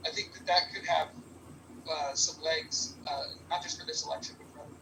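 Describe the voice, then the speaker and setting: A man's voice: fairly high pitch, fairly even intonation, fairly quick, vocal fry, noisy background. A man on a group call who stammers at the start of his points, then speaks thoughtfully. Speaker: call participant.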